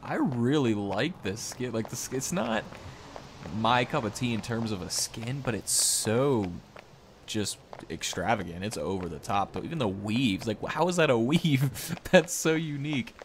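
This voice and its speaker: A man's voice: mid-range voice, animated, unhurried, background noise. A young man hosting a gaming video, giving casual, rambling showcase commentary in an informal, easily impressed way. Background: game music.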